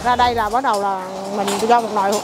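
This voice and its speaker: A woman's voice: on the high side, fairly even intonation, fast, loud music behind the voice. A middle-aged woman with a Southern Vietnamese accent who runs a market food stall, chatting casually and listing her dishes one after another. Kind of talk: casual interview chat.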